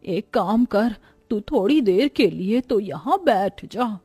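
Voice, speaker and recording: A woman's voice: medium pitch, lively intonation, slow pace, dark tone, husky, dry recording, faint music behind. Concerned old woman voiced by a woman, drawn out. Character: frail, concerned elderly woman labourer. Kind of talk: Hindi cartoon moral story.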